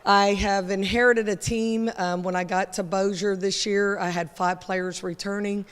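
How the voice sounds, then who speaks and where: Clear-voiced woman coach in an echoing hall. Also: mid-range voice, fairly even intonation, moderate pace. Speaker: college basketball coach. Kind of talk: informal prepared remarks at a media day. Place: large indoor hall, speaking to an audience. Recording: echoey hall sound with long reverb.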